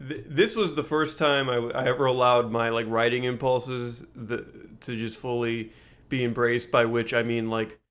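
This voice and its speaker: Jokey, halting male podcast host. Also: medium pitch, steady tone, a bit bright. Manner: halting, jokey, laughs often, swears casually. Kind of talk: casual comedic chat.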